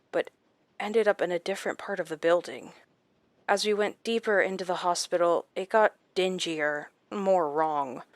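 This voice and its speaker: An actress playing a shaken woman recounting her captivity in a horror audio drama, halting and dramatic with deliberate pauses. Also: lowish voice, no room sound, natural voice. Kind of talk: first-person horror audio drama narration.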